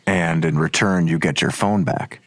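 An actor playing a weary, sardonic police detective in an audio drama, with a dry, blunt, skeptical delivery. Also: male voice, low-pitched, steady tone, moderate pace, gravelly, a bit bright, full character voice. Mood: skeptical, impatient. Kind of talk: naturalistic audio drama acting.

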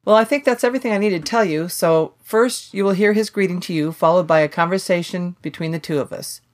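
A woman hosting a podcast, explaining things in a measured way. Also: lowish voice. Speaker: podcast host. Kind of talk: casual podcast chat and scripted intro.

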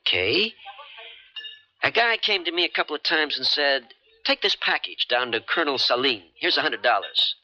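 An actor playing a cynical, cash-strapped American charter boat skipper in a 1970s radio drama, wry and offhand. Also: male voice, fairly high pitch, big pitch swings, normal speed, sharp tone, full character voice. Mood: defensive. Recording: dull 1970s radio broadcast recording.

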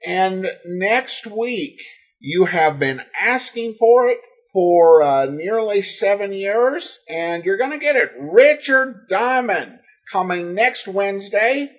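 A man hosting a podcast with loose solo commentary, halting and full of ums. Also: high voice, expressive. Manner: halting, many ums and broken phrases. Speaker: podcast host.

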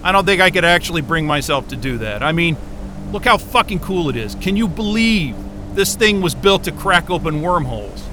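An actor playing a weary, disgruntled scientist who mutters sardonic, profane asides in a naturalistic audio drama, with noise around him. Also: male voice, fairly high pitch, big pitch swings, moderate pace. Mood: bitter. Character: weary, disgruntled male astrophysicist talking to his dog.

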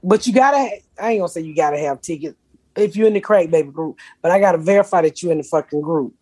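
A woman with a deep voice, hosting a podcast in brash, profane, laughing casual chat. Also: quick pace, dark tone, husky. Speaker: podcast host.

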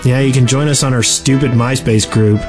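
A man co-hosting a podcast in relaxed, casual group conversation. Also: mid-range voice, brisk, music in the background. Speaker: podcast co-host.

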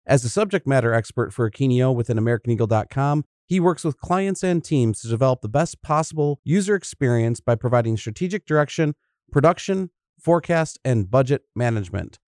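A man's voice: mid-range voice, lively intonation, a little fast, a little mellow, no room sound, studio quality. A man hosting a podcast, reading a prepared introduction of a colleague. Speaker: podcast host. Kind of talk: scripted introduction.

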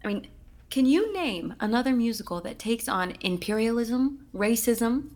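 A woman's voice: fairly high pitch, animated, normal speed, a little mellow, lightly acted. An actress playing an effusive, pretentious musical director addressing her cast in a scripted comedy. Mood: preachy. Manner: effusive, theatrical, preachy. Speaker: theater director.

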